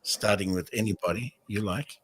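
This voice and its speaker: A man hosting a casual interview, speaking in a halting, gushing way with affectionate words for his audience. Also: fairly low pitch, a bit bright, husky, low-quality recording. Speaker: host.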